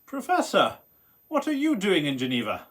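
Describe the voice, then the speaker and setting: An actor playing an intense, brooding young gentleman scientist in a radio play, with a theatrical, hesitant delivery. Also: sits high, wildly swooping pitch, normal speed, heavily acted. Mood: weary, subdued.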